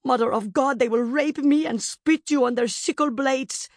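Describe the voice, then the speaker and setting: A frantic servant nurse, put on by a woman narrating an audiobook. Her high voice is breathless with panic. The sound is dry and close. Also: normal speed, no echo, lightly acted. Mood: gasping terror.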